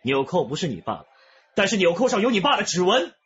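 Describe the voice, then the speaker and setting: A brisk, assertive police detective. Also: male voice, sits high, sing-song, a bit bright, husky, muffled recording, soft background music, exaggerated voice. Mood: methodical, assured. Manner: assertive, emphatic. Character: firm, sure male police detective laying out evidence. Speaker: actor in a radio drama. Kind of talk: radio drama acting.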